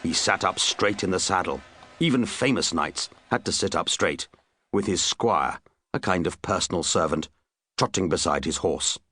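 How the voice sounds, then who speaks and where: A man's voice: deep voice, moderate pace, bright, forceful tone, breathy edge, no echo. A man narrating a comic children's story with dry, wry asides. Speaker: audiobook narrator.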